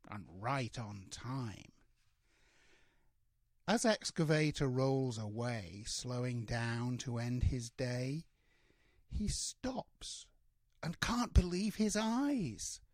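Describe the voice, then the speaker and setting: Older male storybook reader, grandfatherly, mid-pitched, very slow expressive delivery of children's rhymes, dry sound. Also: no room sound. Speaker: grandfather reading to his grandsons. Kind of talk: storybook read-aloud in rhyming verse.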